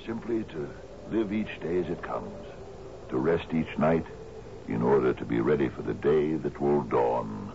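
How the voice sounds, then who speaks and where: A man's voice: extremely low pitch, lively intonation, unhurried, vocal fry, full character voice. An actor in a radio drama playing an expansive, dreamy sea captain in his fifties, musing theatrically with questioning little grunts. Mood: fervent nostalgia. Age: 50s. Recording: dull, noisy 1970s radio recording.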